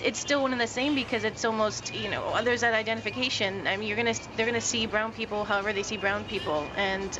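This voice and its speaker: A woman's voice: mid-range voice, noisy background. A woman from Texas on a panel, sharing her experience with many hesitant fillers. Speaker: panel guest. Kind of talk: casual discussion.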